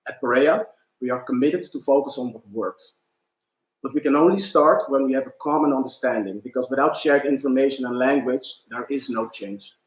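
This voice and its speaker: A man's voice: medium pitch, fairly quick. Man moderating in an echoey room. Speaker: panel host. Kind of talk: formal webinar moderating.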